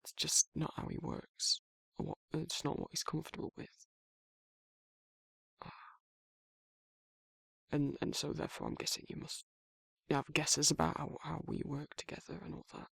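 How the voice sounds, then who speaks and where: A man voicing a gentle, awkward werewolf in an intimate ASMR roleplay, with a British accent, a husky voice and a hesitant, rambling way of talking. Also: on the high side, flat delivery, moderate pace, pauses often, dry recording, heavily acted. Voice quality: husky, gravelly, breathy. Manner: hesitant, rambling, bashful, stumbling over words. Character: grumpy but awkward, shy, gentle male werewolf.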